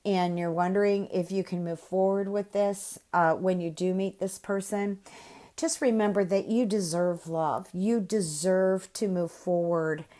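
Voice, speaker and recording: A woman with a slightly nasal, cold-congested voice giving a gentle, spiritual tarot reading with many pauses and fillers. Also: on the low side. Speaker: tarot reader.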